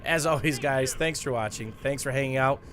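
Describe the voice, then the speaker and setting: A man's voice: medium pitch, brisk, a bit bright, a little hiss or hum. A man giving casual gameplay commentary in an informal way, full of gamer slang. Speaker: gaming video creator.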